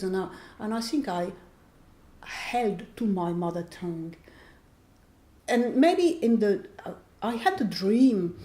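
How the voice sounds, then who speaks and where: French-accented woman author, mellow and thoughtful. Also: mid-range voice. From France. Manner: thoughtful, searching for words, restarts phrases. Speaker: author being interviewed. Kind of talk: reflective conversational interview. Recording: echoey room.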